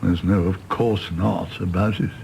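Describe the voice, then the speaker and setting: An actor playing a world-weary, cynical British doctor in a radio play, musing wryly and theatrically. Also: male voice, sits low, fairly even intonation, measured pace, gravelly, darkish tone, a little hiss or hum. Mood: self-mocking. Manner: wry, rambling, theatrical musing. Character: cynical, world-weary doctor, a fallen idealist, teasing and philosophical.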